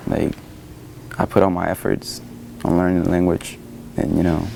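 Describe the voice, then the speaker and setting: A man's voice: low-pitched, monotone, moderate pace, vocal fry, warm tone, slight background noise, rough recording. A young man from Cuba with a Cuban Spanish accent, a student interviewed, speaking hesitantly with many fillers. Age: young adult.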